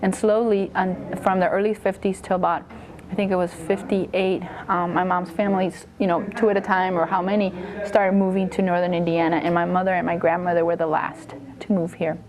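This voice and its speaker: A woman's voice: lowish voice, level tone, a little fast, few pauses, a crowd in the background. A woman from Mexico recounting her family's history in an oral history interview, often correcting herself as she goes.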